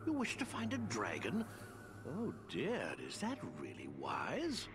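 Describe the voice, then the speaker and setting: An actor playing a dotty, cheerful, crafty old wizard in a fantasy game, with a whimsical, rambling, theatrical manner. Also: male voice, a little high, wildly swooping pitch, slow pace, noisy background, full character voice. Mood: puzzled, musing.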